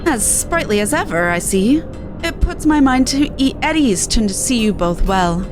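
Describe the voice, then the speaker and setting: A woman's voice: on the high side, animated, moderate pace, music in the background, lightly acted. Woman voicing an earnest, formal young male scholar. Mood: courteous. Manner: formal, courteous, precise.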